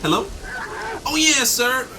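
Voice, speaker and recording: A man playing an excitable, ingratiating diner customer in an audio drama, flustered and overly polite as he talks. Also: very high-pitched, slow pace, heavy background noise, exaggerated voice. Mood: eager, polite.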